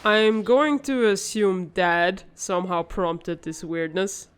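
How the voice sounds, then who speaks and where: Woman doing calm teasing older sister in a comic dub, clean smooth voice, takes her time. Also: mid-range voice, slow, lightly acted. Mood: wry, stern. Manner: teasing, calm, gently scolding. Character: wry, level-headed big sister, young woman. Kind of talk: animated comic-dub voice acting.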